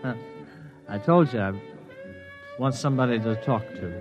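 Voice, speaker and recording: A man's voice: medium pitch, expressive, normal speed, natural voice. A man acting a tipsy, rambling drifter in an old-time science fiction radio drama, with loose, hesitant speech. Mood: tipsy, friendly. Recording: dull vintage radio recording, music.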